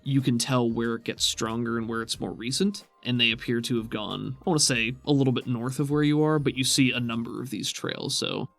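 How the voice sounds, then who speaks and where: A man's voice: medium pitch, fairly quick, creaky, few pauses, faint music behind. A man working as game master, casually improvising descriptions for a tabletop game in a conversational way.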